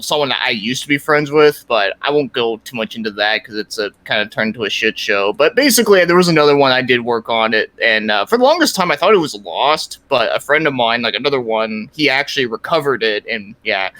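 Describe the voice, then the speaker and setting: A man's voice: mid-range voice, bright, forceful tone, no echo. A man chatting casually as a podcast guest, rambling with lots of "like" and some swearing. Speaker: guest, creator of a fan parody series.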